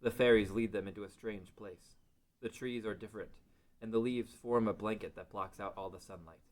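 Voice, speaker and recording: A man's voice: lowish voice, a little fast, a little mellow. A man narrating a scripted fantasy story as part of a table read.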